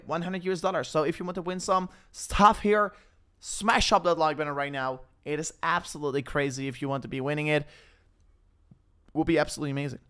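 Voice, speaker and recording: A man's voice: on the high side, fairly bright tone. A young man with a Dutch accent hosting a live crypto stream, rambling casually, often breaking off and restarting his sentences. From Netherlands. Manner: rambling, self-interrupting, hesitant with fillers. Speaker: crypto livestream host.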